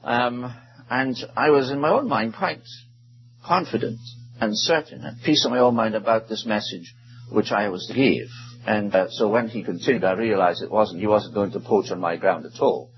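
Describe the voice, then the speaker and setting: A man with a Welsh accent preaching a sermon, reading scripture in a measured, drawn-out way. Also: fairly low pitch. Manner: measured, stretches out scripture verses. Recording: dull lo-fi recording.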